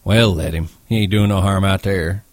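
Man playing a calm, low-voiced ranch man. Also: no room sound, studio quality, close to an everyday voice. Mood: calm, assured. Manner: measured, quiet authority.

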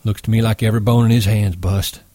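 A man voicing a steady, respected ranch boss who talks in a measured, authoritative way. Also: fairly low pitch, dry recording, close to an everyday voice.

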